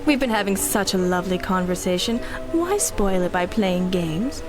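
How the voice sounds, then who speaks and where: A woman's voice: medium pitch, expressive, moderate pace, over music, natural voice. An actress playing a cynical, ruthless female mercenary in a 1930s-style radio drama, speaking with arch, mocking condescension. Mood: smug, teasing.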